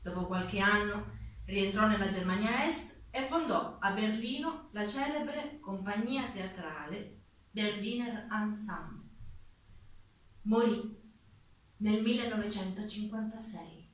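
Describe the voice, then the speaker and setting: A woman's voice: medium pitch, slow pace. A woman delivering a scripted narration as a theatrical narrator. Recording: echoey, distant, faintly noisy stage recording.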